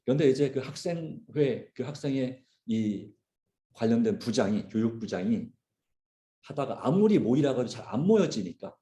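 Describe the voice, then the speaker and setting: A man preaching a sermon as a pastor, earnest and deliberate, repeating phrases for emphasis. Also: mid-range voice, sing-song, warm tone. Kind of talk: sermon with personal illustration. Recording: roomy, echoing sound.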